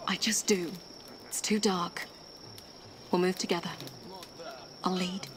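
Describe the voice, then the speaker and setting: An actress playing a young, stubborn, defiant princess in a video game, speaking tersely and with determination. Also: female voice, fairly low pitch, fairly even intonation, slow, slight background noise.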